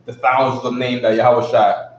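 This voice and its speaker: A man's voice: mid-range voice, level tone, moderate pace, a little hiss or hum. A man teaching a casual live class, who keeps repeating himself and asking "you know what I'm saying?". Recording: echoey, distant, dull live stream.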